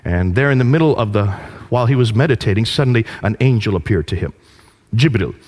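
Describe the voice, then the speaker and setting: Guy giving a lecture, tells it like a story, asks the crowd questions, mid pitch. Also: fast. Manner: animated storytelling with rhetorical questions to the audience. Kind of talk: lecture to a live audience.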